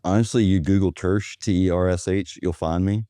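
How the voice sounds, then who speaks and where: A man with a deep, relaxed voice speaks casually as an interview guest. His delivery is plainspoken and anecdotal, and the sound is dry and close. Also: normal speed, no echo. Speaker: podcast guest, automation agency owner. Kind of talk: casual conversational interview talk.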